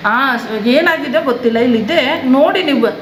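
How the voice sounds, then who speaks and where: Female, rapid and deadpan phone agent who keeps pressing the point; echoey sound. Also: high voice, slight background noise. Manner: insistent, deadpan, repeats herself. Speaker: prank caller posing as car service staff. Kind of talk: prank phone call, improvised.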